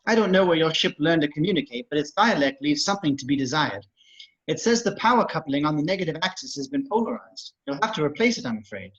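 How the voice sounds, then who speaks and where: Through a muffled lo-fi mic comes a prissy, anxious robot voiced by a man in an amateur table read. The voice is fairly high and husky, fussy and formal in its phrasing. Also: lively intonation, fast, a bit bright. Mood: fretful. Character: prissy, anxious male protocol droid.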